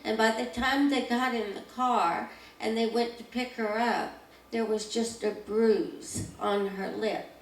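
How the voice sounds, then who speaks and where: A woman speaks slowly on a discussion panel, sharing anecdotes in a reflective way with a gravelly voice. The mic is distant, and the room is echoey with faint noise. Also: on the high side, fairly even intonation.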